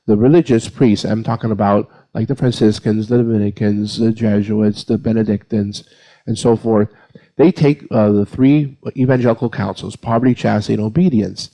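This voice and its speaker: A man's voice: lowish voice, steady tone, normal speed, dark tone, poor audio. A man speaking as a priest on a panel, giving an informal, plain-spoken explanation point by point. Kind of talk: informal explanatory answer to a question.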